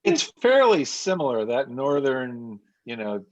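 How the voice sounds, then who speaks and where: A man's voice: medium pitch, huge pitch swings, a little slow, no echo. Man, Northeastern accent, rambling casual talker, dry close sound, slightly dull recording. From United States. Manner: talkative, rambling storyteller. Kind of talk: casual chat. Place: home studio in South Jersey.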